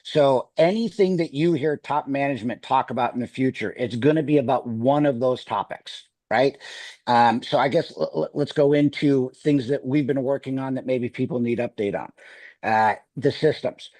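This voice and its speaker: A man's voice: mid-range voice, normal speed, a little mellow, no room sound. A middle-aged man with a Wisconsin accent, talking on a video call to a sales team, rambling with many restarts. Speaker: sales executive.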